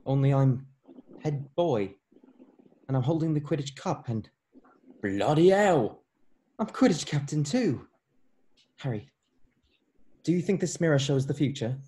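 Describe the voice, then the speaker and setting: A loyal schoolboy wizard friend, played by a man at a table read. He sounds informal and boyish, with a mellow tone and a pitch a bit above average for a man. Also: animated, unhurried, pauses often. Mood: amazed. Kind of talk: scripted table read acting.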